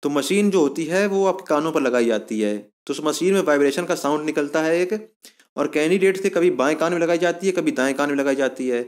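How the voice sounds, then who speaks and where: A man's voice: on the high side, brisk. A man giving an informal explanatory lesson as an exam coaching presenter, going step by step and repeating himself to recap.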